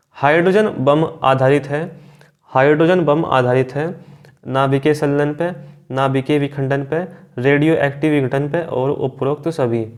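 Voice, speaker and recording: A man's voice: mid-range voice, darkish tone. Man teaching exam quiz. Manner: instructive, addressing students, repeats answers and catchphrases. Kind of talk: quiz lesson, reading out questions and answers.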